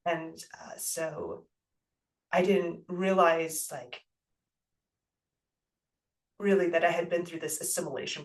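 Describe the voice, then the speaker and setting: A guest who grew up in the United States, speaking in a thoughtful, halting way with many fillers and soft laughs. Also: mid-range voice, far from the mic, rough recording. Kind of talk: reflective casual interview talk.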